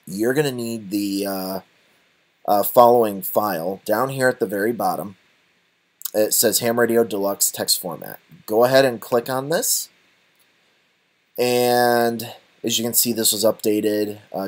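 A man with a fairly low voice guides listeners through a software walkthrough. His delivery is unscripted and halting, often broken up by uhs as he explains each step. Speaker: tutorial presenter. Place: voice-over for a screen recording.

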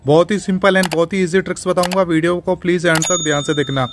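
Man, slightly high voice, tech tutorial host, instructional, step-by-step explanation, repeats himself. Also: steady tone, fast talker, noisy background. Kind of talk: explanatory phone tutorial narration.

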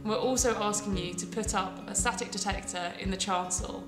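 A woman presenting on camera inside a small old church, explaining a volunteer survey in a clear, steady way. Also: medium pitch, brisk, echoey room, over music. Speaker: project presenter.

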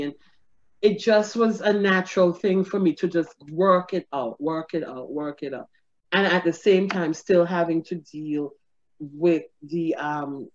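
A middle-aged woman, a comedian, telling stories in an animated interview over a webcam from her home, laughing often. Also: sits low. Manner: expressive, gestures a lot, laughs often. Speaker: comedian and guest. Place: home room with ceiling fan. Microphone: video call webcam. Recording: dull lo-fi video call audio.

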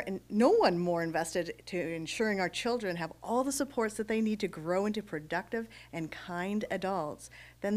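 Friendly woman emceeing a school event. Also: mid-range voice. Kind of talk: introducing speakers at a podium. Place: school classroom. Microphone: podium microphone.

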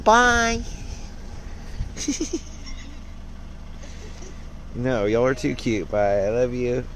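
A man's voice: mid-range voice, very animated, drawn-out words. A man vlogging in a casual, easygoing way, rambling with plenty of fillers. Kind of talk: casual vlog chat. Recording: loud background noise.

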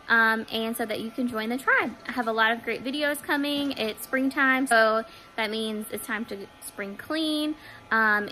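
A woman's voice: fairly high pitch, poor audio. A young woman vlogging from her living room couch, talking casually to a close phone camera with plenty of ums. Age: young adult. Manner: chatty, relaxed, frequent ums. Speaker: home cleaning vlogger. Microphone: phone camera held close.

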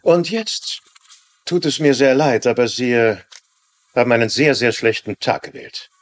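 A man's voice: mid-range voice, lively intonation, measured pace, no echo, lightly acted. A man playing a curt, dismissive business tycoon in a dubbed TV crime drama, formal and brusque.

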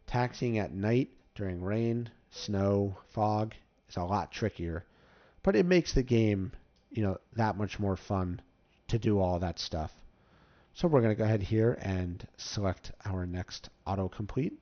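A man's voice: on the low side, a little slow. A man giving casual, step-by-step commentary as host of a game tutorial. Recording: slightly dull, muffled microphone.

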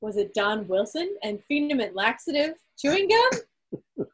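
Female quiz host, slow delivery with a husky edge, light banter between questions, on a video call. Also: high-pitched, very animated. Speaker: quiz host at club meeting.

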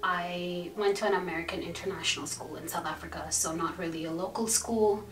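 A woman's voice: lowish voice, steady tone, brisk, roomy sound, recorded from a distance, slight background noise, rough recording. A young woman from India in her twenties, telling her story fluently and thoughtfully in an interview. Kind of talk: casual interview answers. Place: plain indoor room.

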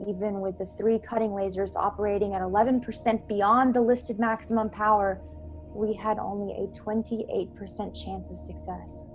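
A woman's voice: medium pitch, level tone, normal speed, faint music behind, lightly acted, low-quality recording. A young woman playing a grieving starship crew member in a science-fiction radio play, telling her story dramatically. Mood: sombre recounting. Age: young adult. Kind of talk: dramatic first-person radio play narration. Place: bedroom at home, video call. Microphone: earphone headset on video call.

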